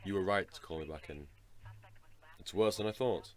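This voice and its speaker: An actor in a radio drama playing a calm, probing male doctor, measured, clinical and terse in manner. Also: sits low, close to an everyday voice. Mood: grave.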